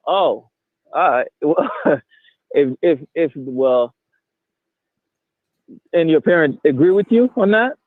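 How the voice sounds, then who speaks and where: A man's voice: on the high side, animated, slow, pauses often, no echo. A man from Haiti calling in, talking hesitantly with many stammering restarts. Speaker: caller. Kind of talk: casual debate. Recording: tinny phone line.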